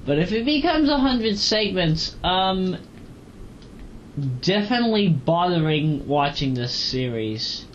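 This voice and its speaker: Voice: mid-range voice, big pitch swings, slow. Muffled, rambling amateur reviewer of unclear gender. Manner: rambling, repeats and corrects themself. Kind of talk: casual rambling audio log review. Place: at home, moving between kitchen and bedroom. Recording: muffled, noisy home audio with fan. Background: fan running.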